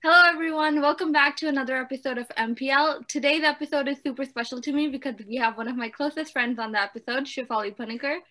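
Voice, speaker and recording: A woman's voice: high-pitched, fast talker, few pauses, bright, forceful tone. A woman co-hosting a casual podcast, enthusiastic as she introduces and praises her guest. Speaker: podcast co-host.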